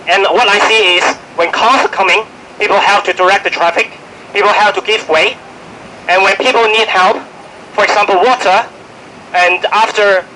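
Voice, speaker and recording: Sick Hong Kong man, hoarse, urgent press statement, faint street hum. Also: sits high, pushed and bright, low-quality recording. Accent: Hong Kong English. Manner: urgent, pleading, many fillers and restarts. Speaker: finance worker speaking at a press conference. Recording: outdoor press event with faint street noise.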